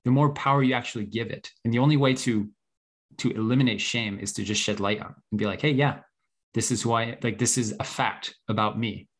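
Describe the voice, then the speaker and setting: A man's voice: mid-range voice, steady tone, a little fast. A man hosting a group discussion, explaining and advising in an earnest, conversational way. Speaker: host guiding a group discussion.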